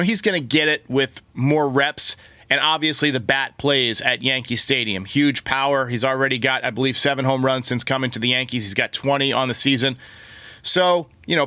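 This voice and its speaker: A man's voice: medium pitch, bright, forceful tone, clean tone, no echo. A man hosting a sports show, giving conversational baseball commentary to his listeners. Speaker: sports podcast host.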